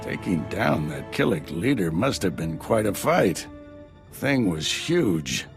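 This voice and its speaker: A battle-worn Jedi master in a game cutscene. The voice is deep and full of gravel, with a dry, steady authority. Music plays clearly behind it. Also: male voice, takes it slowly, heavily acted. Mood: weary, wry. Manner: dry, wry, commanding. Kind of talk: video game cutscene acting.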